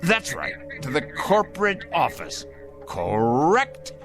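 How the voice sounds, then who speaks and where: Self-righteous male crank, prim and emphatic, hugely varied intonation, slow deliberate pace, radio drama. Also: a little high, wildly swooping pitch, fairly bright tone, faint music behind, exaggerated voice. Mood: accusing, insistent. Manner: prim, emphatic, formal, halting for effect. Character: fussy, self-righteous fanatical male crank denouncing strangers.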